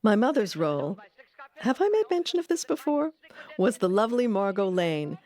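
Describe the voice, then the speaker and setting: A woman's voice: medium pitch, very animated, normal speed, darkish tone. A woman reading scripted documentary narration with wry personal asides.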